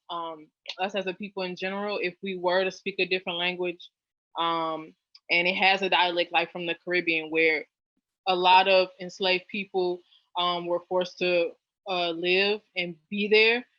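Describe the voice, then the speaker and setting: A young woman from New Orleans chatting as an interview guest, with lots of fillers and lively jumps in pitch. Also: moderate pace, dry recording. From New Orleans, US South. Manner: chatty, many fillers, animated rises in pitch. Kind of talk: casual interview chat. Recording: dull lo-fi dry sound.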